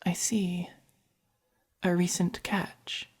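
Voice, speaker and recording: A woman with an English accent voicing a haughty, possessive but caring vampire in a soft-spoken ASMR roleplay, with a breathy, commanding delivery. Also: lowish voice, level tone, exaggerated voice. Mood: appraising. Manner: slow, deliberate, commanding.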